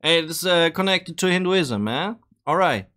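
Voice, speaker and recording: A man's voice: fairly high pitch, animated, measured pace, noisy background. A man from Germany with a German accent, reacting casually and thinking aloud with hesitant restarts. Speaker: reaction video creator.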